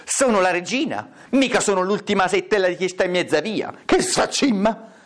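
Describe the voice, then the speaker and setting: A man's voice: high voice, big pitch swings, a little slow, voice pushed up. A man voicing a vain, bad-tempered stepmother queen in a comic fairy tale, imperious, vulgar and scolding. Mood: indignant.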